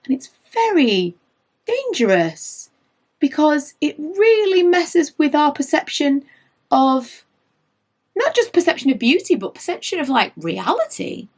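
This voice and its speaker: A woman in her late thirties, slightly hoarse from losing her voice, chatting through her makeup favourites and wandering off on tangents. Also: sits high, unhurried. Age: late 30s. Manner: rambling, goes off on tangents; animated, rises when indignant. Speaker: beauty vlogger.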